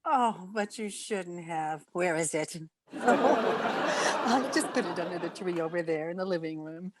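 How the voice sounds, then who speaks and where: An actress playing a dry, wisecracking schoolteacher in an old-time radio comedy, with a deadpan manner. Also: female voice, lowish voice, measured pace, over a noisy crowd, very noisy. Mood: welcoming. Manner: deadpan, wry.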